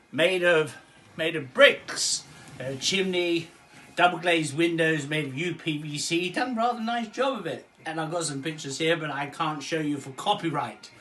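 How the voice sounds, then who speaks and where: A man's voice: on the high side, pushed and bright. A man telling a rambling comic bedtime story, chuckling and wandering into asides.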